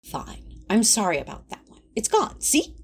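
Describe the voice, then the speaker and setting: Fussy, prim male companion, played by a woman, husky and animated, placating yet easily affronted. Fan audio drama. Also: medium pitch, normal speed, full character voice.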